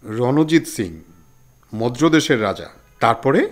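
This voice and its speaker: A man's voice: mid-range voice, lively intonation, a little slow, soft background music, lightly acted. A man playing a naive, curious young listener who questions and challenges a storyteller in a Bengali audio drama. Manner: inquisitive, challenging, eager.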